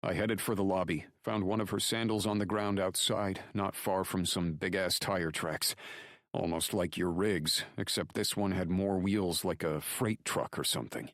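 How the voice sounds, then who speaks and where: A man voicing a firm, rough-talking lawman buddy reporting urgently over the phone in an audiobook. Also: deep voice, steady tone, normal speed, fairly bright tone, dry recording, studio quality, voice pushed up. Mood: urgent, gruff. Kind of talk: audiobook character dialogue.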